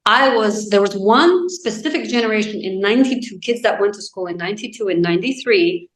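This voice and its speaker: A woman's voice: mid-range voice, a little fast. Reflective woman in a roomy space. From Azerbaijan. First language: Azerbaijani. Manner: reflective, thinks aloud with many "you know" and "like". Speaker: guest author. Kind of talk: casual interview chat. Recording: roomy echo.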